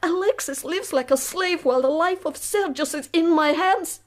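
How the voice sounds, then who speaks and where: An actress playing a proud, weary middle-aged revolutionary woman in a radio drama, speaking slowly and deliberately. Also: very high voice. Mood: bitter, grave. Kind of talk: BBC radio drama acting.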